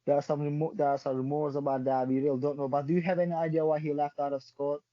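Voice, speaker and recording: A man's voice: a little high, level tone, fast, no room sound, dull audio. A man hosting a podcast in a friendly way, asking long rambling questions. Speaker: podcast host.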